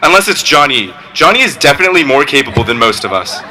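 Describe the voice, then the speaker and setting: A teenage boy, valedictorian, giving a graduation speech with joking, deadpan asides. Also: mid-range voice, fast talker, heavy background noise. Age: teens. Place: outdoor graduation ceremony.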